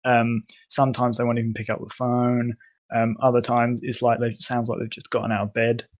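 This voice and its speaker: A man's voice: mid-range voice, monotone, a little fast. A young man from England, a podcast guest, talking casually and hesitantly with many ums and restarts. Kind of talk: casual conversation.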